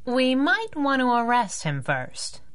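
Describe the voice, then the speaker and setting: Lively wisecracking heroine sidekick. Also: female voice, high voice, slow, exaggerated voice. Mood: wry, brisk. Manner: snappy, wry, casual. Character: plucky wisecracking young woman sidekick, hero's driver. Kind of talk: 1930s-set radio superhero drama acting.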